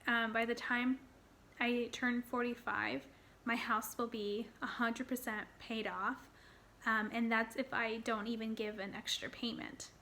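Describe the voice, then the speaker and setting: Excited woman in her thirties vlogging. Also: a little high, measured pace, slight background noise. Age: 30s. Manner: excited, rambling with fillers and restarts. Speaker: personal finance vlogger. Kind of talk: casual talk to camera.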